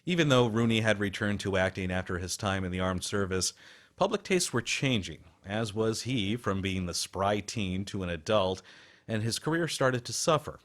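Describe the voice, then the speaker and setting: A man's voice: lowish voice, expressive, moderate pace, fairly bright tone. A man hosting a radio program with scripted documentary narration.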